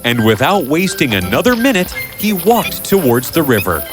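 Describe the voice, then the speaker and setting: A man's voice: fairly high pitch, wildly swooping pitch, moderate pace, over music. A man narrating a scripted fairy tale as a storyteller.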